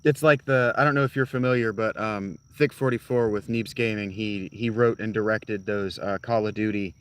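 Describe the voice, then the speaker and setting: Man, rambling, profane, lowish voice, chatting to live viewers. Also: fairly even intonation, moderate pace, a bit bright, smooth. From North Carolina. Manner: rambling, profane, talks straight to his chat. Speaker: live streamer. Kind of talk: casual chat with live viewers.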